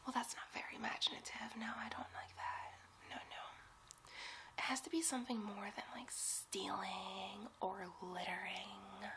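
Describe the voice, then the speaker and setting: A woman's voice: mid-range voice, steady tone, normal speed, creaky, bright, forceful tone. A woman voicing a giddy, bossy young superhero sidekick in a whispered, theatrical, teasing ASMR roleplay. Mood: scheming, eager.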